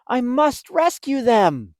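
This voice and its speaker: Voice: high-pitched, sing-song, slow pace, dry recording, clean studio sound, voice pushed up, heavily acted. A storybook reader voicing an eager, caring young female fruit bat, earnest and given to exclaiming. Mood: alarmed. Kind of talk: children's storybook read-aloud.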